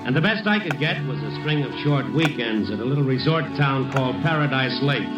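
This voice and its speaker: An American actor playing a wry, hard-boiled big-city newspaper reporter in an old radio detective drama, narrating and acting out scenes. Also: male voice, a little high, distant mic, close to an everyday voice. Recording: muffled, roomy old radio recording, music behind.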